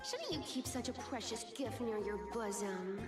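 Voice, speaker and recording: A woman's voice: fairly high pitch, animated, normal speed, music in the background, close to an everyday voice. An actress playing a sly, playful, teasing cat-like female thief in a video game.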